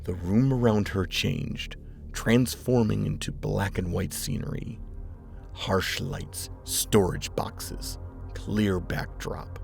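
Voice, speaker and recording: A man reading scripted dramatic fiction as narrator, in a measured, grave manner. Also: lowish voice, steady tone, vocal fry, a little mellow. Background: music.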